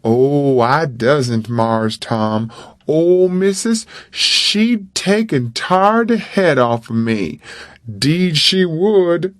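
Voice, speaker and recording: A man's voice: a little high, animated, drawn-out words, no echo, clean studio sound. A man voicing a timid young errand boy who hesitates and pleads in a storybook reading. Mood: fearful.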